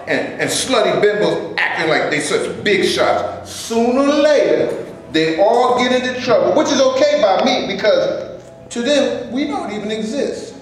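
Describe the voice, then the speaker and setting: A roomy, distant recording of a staged reading with faint noise. An actor plays an ambitious, resentful man who dreams of making movies. His voice is high for a man and moves up and down in an animated way. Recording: echoey room, distant mic, faint noise.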